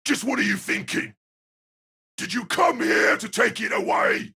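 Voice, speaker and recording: A man voicing a brash, intense young anime fighter in an audio drama, with a strained, raspy voice and drawn-out dramatic delivery. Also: high-pitched, lively intonation, bright, forceful tone, dry recording, clean studio sound, voice pushed up, heavily acted. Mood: agitated.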